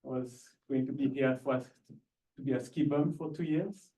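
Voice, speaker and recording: Swiss guy chatting easy before his pitch, warm low tone, mic kinda far off. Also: steady tone, normal speed. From Switzerland. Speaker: startup founder pitching. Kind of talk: casual chat before a pitch. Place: crowded room.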